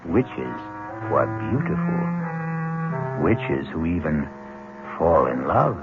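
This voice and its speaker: Brief: male host, lowish voice, measured menace, muffled vintage radio tone. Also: very animated. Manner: measured, ominous storytelling. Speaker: host and narrator. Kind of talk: 1970s radio drama narration. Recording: dull old radio broadcast with loud music.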